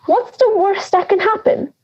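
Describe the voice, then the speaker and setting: A woman's voice: very high voice, normal speed, dull audio. A young woman playing a feisty, blunt granny of ninety in an audio drama, scolding and sarcastic. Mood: incredulous. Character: feisty, blunt ninety-year-old granny living in the woods.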